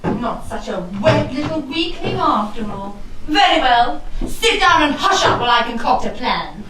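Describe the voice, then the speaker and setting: A woman playing a scornful, scheming rich widow, a sharp-tongued villainess in a theatrical costume comedy. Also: high-pitched, big pitch swings, normal speed. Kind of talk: theatrical period comedy acting. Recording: very echoey room, distant mic, clear noise.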